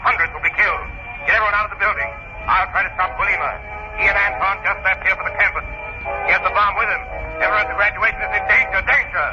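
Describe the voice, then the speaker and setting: An actor playing an invisible avenging crime fighter, commanding, taunting and deliberate, in an old radio drama. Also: male voice, high voice, over music, lightly acted. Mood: urgent. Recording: muffled, noisy old radio broadcast recording.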